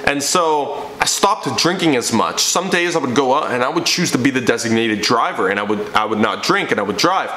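A man's voice: mid-range voice, expressive, a little fast, few pauses, pushed and bright. A young man and fitness coach telling his personal story to the camera from a living room, emphatic and preachy. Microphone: camera in front, seated framing. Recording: echoey room with faint ambience.

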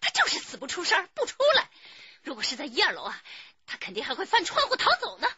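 A woman's voice: sits high, huge pitch swings, quick pace, pushed and bright, dull audio, full character voice. A woman acting an emotional, accusatory wife with a cheating husband in a dramatic radio play. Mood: bitter, scornful. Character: furious, wailing wife hunting her cheating husband.

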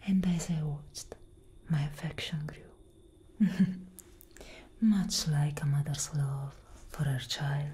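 A young woman playing a tender, protective elder vampire sister in a whispered ASMR roleplay, breathy and solemn, close to the microphone. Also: sits low, warm tone, a little hiss or hum. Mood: tender, affectionate. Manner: slow, intimate, deliberate.

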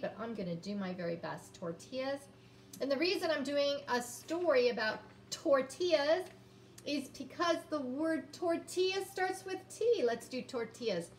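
A woman in her fifties teaching young children, sounding out words and reading aloud in a deliberate, encouraging way. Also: on the high side. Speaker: early-grades teacher. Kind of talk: children's phonics lesson and read-aloud. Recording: roomy, distant mic, background noise.

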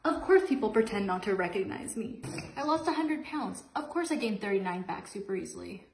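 A woman's voice: medium pitch, lively intonation, a little fast. A woman speaking in short, repeated statements for a social media skit about herself. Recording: echoey, noisy, distant microphone.

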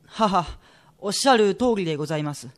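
An actor playing a courteous, greying head clerk in a period radio drama, speaking in a humble, formal, deferential manner. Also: male voice, high-pitched, expressive, fast talker. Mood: deferential, persistent.

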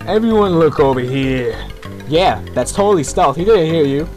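Slangy young-sounding man, animated game commentary. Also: on the high side, normal speed, faint music behind. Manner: slangy, rambling, complaining. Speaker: gamer making a playthrough video. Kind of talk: casual, profane game commentary.

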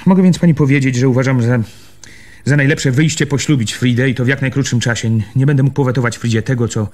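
A man's voice: mid-range voice, steady tone, fast, darkish tone, natural voice. An actor playing a stubborn, earnest land surveyor in a radio play, hesitating and repeating words as he presses his point. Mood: resolute, agitated. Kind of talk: Polish radio play acting.

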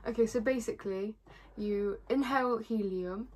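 A woman's voice: on the high side, moderate pace, darkish tone. A young woman hosting a casual video, chatting and explaining a game in a bedroom. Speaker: vlog host. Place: small bedroom indoors, sitting on a bed. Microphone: camera a couple of metres away. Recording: home camera recording with clear noise.

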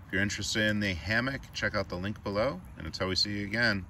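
A man in his thirties talks through camping gear in a loose, chatty way, with plenty of fillers. His voice sits very low. He is picked up from some distance on a camera mic in the woods, with faint outdoor noise behind him. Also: quick pace. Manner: relaxed, filler-heavy, chatty. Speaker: channel host reviewing gear. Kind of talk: casual product review.

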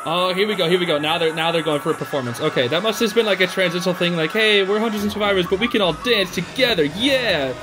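A young man giving casual, excitable, slangy reaction commentary as a video host. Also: high voice, fast talker, few pauses. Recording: music playing behind the voice. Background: stage show music.

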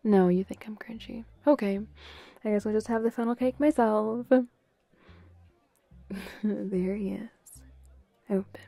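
Bubbly, high-voiced playful girlfriend, acted by a woman. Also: dark tone, no echo, exaggerated voice. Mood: giddy, teasing. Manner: giggly, teasing, coaxing, breathy. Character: bubbly, playful, affectionate young girlfriend on a date. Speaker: audio roleplay voice performer. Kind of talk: intimate girlfriend roleplay audio acting.

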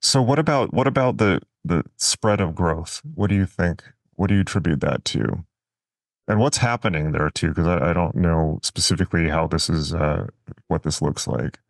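Deep, gravelly male podcast host. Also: normal speed, no echo. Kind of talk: casual interview questions. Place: indoor studio, video call. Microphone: close broadcast mic on boom arm, headphones.

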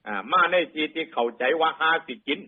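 A man's voice: fairly high pitch, lively intonation, fairly quick, phone-quality sound. A man with an Isan accent telling a moral folk tale to lay listeners in a colloquial, repetitive oral style. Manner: colloquial, repeats phrases for emphasis. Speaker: Buddhist storyteller addressing lay listeners.

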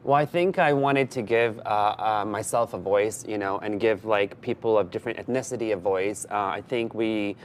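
A man in his thirties from Canada, born in Afghanistan, speaking casually as a studio interview guest, in an enthusiastic, halting way with restarted phrases. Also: on the low side, slight background noise. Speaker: film director and actor, interview guest. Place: indoor TV news studio.

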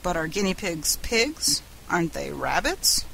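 A woman voicing an officious, businesslike male department head, speaking in a brisk, formal, bureaucratic way. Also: on the low side, big pitch swings, gravelly, fairly bright tone, slight background noise, exaggerated voice, poor audio. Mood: considering.

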